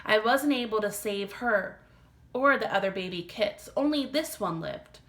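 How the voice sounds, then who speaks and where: Woman as a bright-voiced, gentle but firm mother. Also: medium pitch, animated, measured pace. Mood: explaining. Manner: explanatory, firm. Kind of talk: children's storybook read-aloud.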